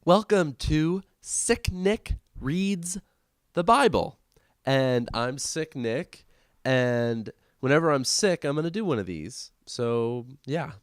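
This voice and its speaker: A man's voice: fairly high pitch, expressive, slow, clean tone, no room sound, studio quality. A man hosting a comedic show, reading aloud in an amateur, casual and irreverent way. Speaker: host of a comedic reading podcast.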